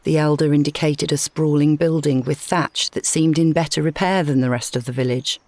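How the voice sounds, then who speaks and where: A woman reading a scripted short story as narrator, in a measured, dramatic storytelling manner. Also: sits low, fairly even intonation, darkish tone, no echo.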